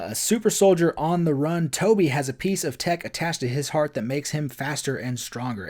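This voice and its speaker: A man in his late twenties hosting a casual film discussion podcast, speaking in a relaxed, thoughtful way with hesitant fillers. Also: a little high. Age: 20s. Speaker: podcast host.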